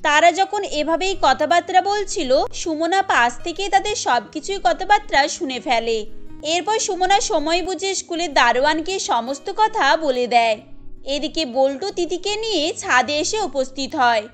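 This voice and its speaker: A woman narrates a scripted cartoon story in a very high voice. Music plays along behind her. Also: lively intonation, unhurried.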